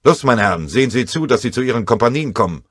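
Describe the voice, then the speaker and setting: A man's voice: mid-range voice, lively intonation, fast, breathy edge, no echo, clean studio sound, voice pushed up. A man voicing a stern, sardonic battalion commander who speaks in clipped orders in a war audiobook. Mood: irritated, brisk. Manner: barking, clipped military orders.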